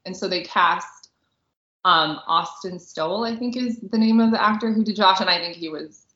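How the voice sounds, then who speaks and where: A woman's voice: on the low side, normal speed, a bit bright. A woman co-hosting a podcast in casual chat, enthusiastic and agreeable. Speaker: podcast co-host, romance novelist. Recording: roomy, muffled lo-fi audio.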